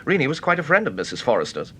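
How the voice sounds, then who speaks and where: An actor playing an anxious, agitated English widower in a radio mystery drama, well-spoken and excitable with a British accent. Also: male voice, on the high side, racing, slight background noise, heavily acted. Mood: uneasy, candid.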